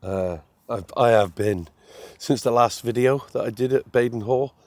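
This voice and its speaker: An English man vlogging outdoors at a fishing lake, chatty and friendly, his voice still slightly hoarse as it recovers. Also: mid-range voice, moderate pace, a little mellow, no echo. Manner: chatty, friendly, laughs easily. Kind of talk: casual outdoor chat.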